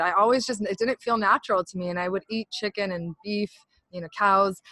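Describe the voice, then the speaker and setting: A woman's voice: lowish voice, fairly bright tone, dry recording, low-quality recording. A woman from California, a podcast guest, telling her story earnestly in a casual interview.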